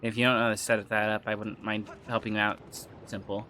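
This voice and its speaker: Male streamer, rambling and chatty, bright tense tone, a touch phlegmy; soft game music underneath. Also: lowish voice, little pitch movement, fast. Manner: rambling, trailing off and restarting, chatty with viewers. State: slightly phlegmy throat. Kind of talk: casual livestream chat while gaming.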